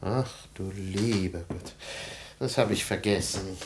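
A man's voice: low-pitched, slow pace, very noisy. An actor playing a vain, self-pitying middle-aged family man in a radio play, swinging between grumbling and pathos. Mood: sheepish, defensive.

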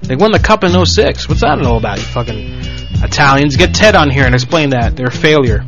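A man's voice: mid-range voice, expressive, dull audio, over loud music. A man hosting a casual radio show, joking and swearing loosely as he introduces calls. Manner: fast, crude, joking rants.

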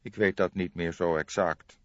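A man's voice: low-pitched, steady tone, moderate pace, no echo, lightly acted. Guy doing snooty evasive doctor, late fifties, growly, hums and haws, audiobook, kinda muffled. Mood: dismissive. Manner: nonchalant, hesitant hemming and drawling.

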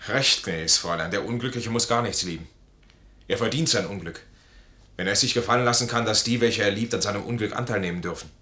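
Proud broken officer, lowish forceful voice, anguished speeches, roomy old radio recording. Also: male voice, fast. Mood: bitter, proud. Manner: formal, anguished, declamatory. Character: proud, wounded, discharged army officer, honourable and tormented. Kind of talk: 1950s German radio play acting.

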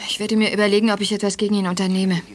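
An offended female character acted by a woman in a children's audio drama. The voice is of medium pitch and sounds indignant and wronged. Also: little pitch movement, rapid-fire, close to an everyday voice.

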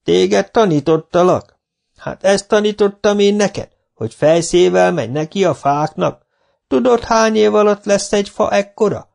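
A man's voice: high-pitched, gravelly, a little mellow, pitched above the performer's own voice. A man playing an outraged old schoolteacher. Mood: indignant. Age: older. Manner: indignant, lecturing. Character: tipsy elderly male teacher, scolding.

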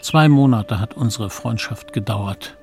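A man's voice: fairly low pitch, measured pace, creaky, a little mellow, faint music behind. A man acting as a reflective, confessional narrator who recalls his guilty boyhood in a radio drama. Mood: remorseful, reflective. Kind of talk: scripted first-person radio drama narration.

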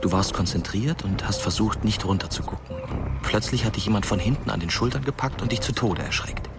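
Very deep wistful actor as a ghostly narrator. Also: male voice, a little fast, very noisy, close to an everyday voice. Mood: wistful, quiet. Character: dead teenage boy, wistful, watching over his living friend. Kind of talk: scripted radio drama narration. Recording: music bed behind the voice. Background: loud atmospheric music.

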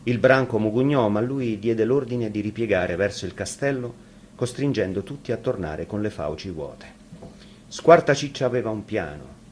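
A man's voice: lowish voice, expressive, normal speed, a little mellow, a little hiss or hum. A man reading a fairy tale expressively, as a storyteller.

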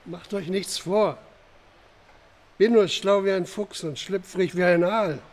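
A man's voice: sits high, measured pace. A man playing a commanding, driven police chief in a radio crime drama, urgent and insistent. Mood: determined.

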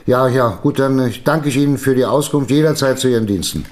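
An actor playing a jovial, inquisitive newspaper boss in a radio drama, talking in a halting, colloquial way. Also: male voice, medium pitch, darkish tone, close to an everyday voice. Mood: brisk, relieved. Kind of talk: German radio drama dialogue.

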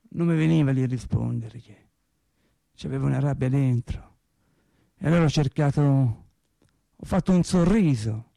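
An actor playing a naive working-class man in a radio drama monologue, telling his sad story in a colloquial, halting, wry way. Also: mid-range voice, creaky, mellow, no echo. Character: naive working-class man recounting his cancer and death, resigned, tender.

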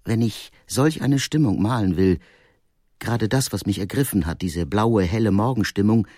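An actor playing a brooding, sensitive painter who reads from his diary in an earnest, introspective and deliberate way. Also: on the low side, darkish tone, no room sound, studio quality. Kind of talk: dramatic first-person diary reading.

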